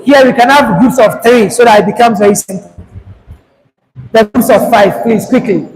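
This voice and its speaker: A man with a very high, light voice, giving a public speaking workshop into a handheld mic in a lecture hall. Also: moderate pace. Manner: direct, coaching, urges the group to hurry. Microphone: handheld microphone. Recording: long hall reverb, faint background noise.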